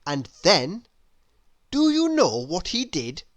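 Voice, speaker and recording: Slow, animated male storybook reader. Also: high voice, dry recording. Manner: dramatic, deliberate storytelling. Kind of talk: expressive children's read-aloud narration.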